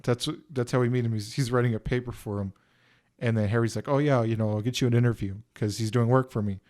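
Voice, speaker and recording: A man's voice: medium pitch, steady tone, fast, darkish tone. Rambling young man in his twenties. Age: 20s. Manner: rambling, lots of "like" and "you know" fillers. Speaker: podcast co-host. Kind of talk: casual film review chat.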